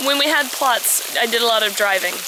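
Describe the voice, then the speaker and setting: A woman's voice: a little high, a little fast, background noise. A young American woman chatting casually and reflectively with her viewers, answering their questions. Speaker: vlogger, preschool English teacher.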